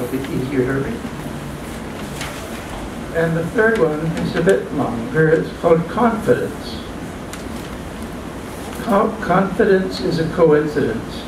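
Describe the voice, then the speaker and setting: An older man reading his own poems at an open mic in a small restaurant, halting and plain, stumbling and restarting now and then. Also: a little high. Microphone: stand mic, filmed from a far camera. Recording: echoey room, distant mic, background hum.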